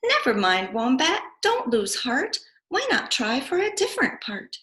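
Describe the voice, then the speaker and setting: A woman's voice: high-pitched, wildly swooping pitch, unhurried, fairly bright tone, full character voice. A woman voicing a kindly, encouraging male bilby in a children's storybook reading. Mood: comforting. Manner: comforting, encouraging.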